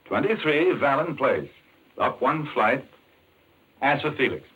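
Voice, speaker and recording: An actor playing an earnest, indignant doctor in a 1940s radio mystery, with urgent, clipped, demanding delivery. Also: male voice, medium pitch, big pitch swings, measured pace, slightly rough, phone-quality sound, full character voice. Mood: wary, polite. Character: earnest, indignant male doctor caught up in murder.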